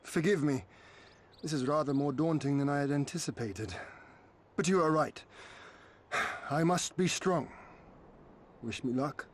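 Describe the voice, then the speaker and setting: An actor playing an earnest young noble lord in a video game cutscene, speaking measured, formal oratory. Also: male voice, on the high side, slight background noise, lightly acted. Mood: hesitant, resolute. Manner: measured, solemn, formal diction. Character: noble young lord rallying his war-weary people, earnest.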